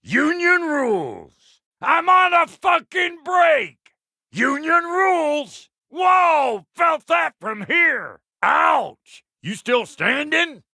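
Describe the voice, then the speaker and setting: A foul-mouthed dwarf blacksmith from a video game, gruff and gravelly with a twang. The voice is forceful and high, swinging widely in pitch. The manner is brash, folksy and combative. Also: male voice, very animated, extremely slow, no room sound, clean studio sound, full character voice. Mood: irritated, then rowdy, pleased. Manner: brash, folksy, cussing, barking warnings and taunts. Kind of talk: video game character voice lines.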